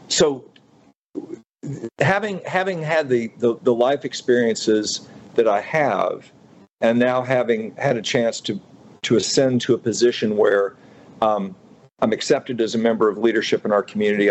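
A man in his fifties from Utah, a restaurateur speaking as a guest in a radio interview, thoughtful and halting with many fillers and restarts. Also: medium pitch, slight background noise. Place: radio studio.

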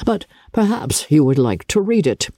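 A woman voicing a calm, resigned older gentleman father in a classic novel, measured and wry. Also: low voice, no room sound, studio quality.

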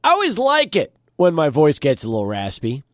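A man hosting a studio sports talk show, his voice a little hoarse and deeper than usual, chatting and asking questions. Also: a little fast, dry recording. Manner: conversational, lively questioning. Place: radio studio.

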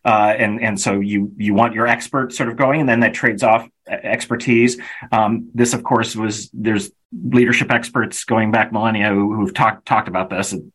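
A man's voice: lowish voice, fairly even intonation, moderate pace, a bit bright, rough recording. A man hosting a podcast interview, asking long, hesitant questions full of restarts. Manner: hesitant, many restarts and fillers, thinking aloud. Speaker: podcast host.

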